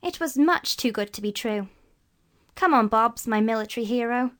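A woman's voice: a little high, lively intonation, normal speed, a bit bright, pitched above the performer's own voice. A woman voicing a jaunty, easygoing older boy with a teasing manner in a children's storybook.

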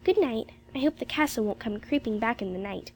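A very high, plaintive voice for a storybook little girl, acted by a woman. She is timid and dreamy, speaking with wide-eyed innocence. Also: animated, brisk, darkish tone, a little hiss or hum. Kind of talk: children's storybook character voice.

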